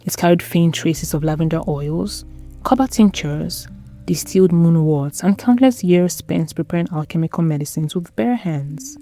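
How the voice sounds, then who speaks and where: A woman with a lowish, very mellow voice narrates gothic romance fiction. Her delivery is measured and solemn, set over a light music bed. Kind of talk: dramatic scripted story narration.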